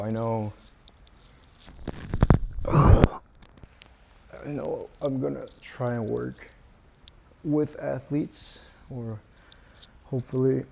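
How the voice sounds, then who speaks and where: A man in his twenties from South Korea, a native Korean speaker, talking casually and haltingly to camera in unscripted English as a beginner vlogger. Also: gravelly. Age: 20s. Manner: hesitant, halting, low-key. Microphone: small wireless clip-on mic. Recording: steady background noise on a small wireless mic.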